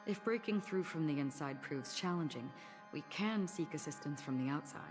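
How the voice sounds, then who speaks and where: A woman playing a calm, analytical strategist in a video game, composed and explanatory. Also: sits low, moderate pace, music in the background. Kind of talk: video game character acting.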